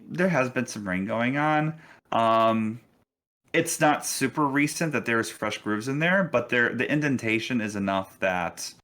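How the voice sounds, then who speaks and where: A man acting as game master, casually improvising narration for a tabletop game in halting, restarted phrases. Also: mid-range voice, a bit bright.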